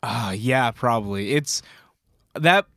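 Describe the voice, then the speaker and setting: A man's voice: mid-range voice, expressive, slow, fairly bright tone, dry recording, studio quality. A young man co-hosting a podcast, chatting casually, rambling with restarts and frequent laughs. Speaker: podcast co-host.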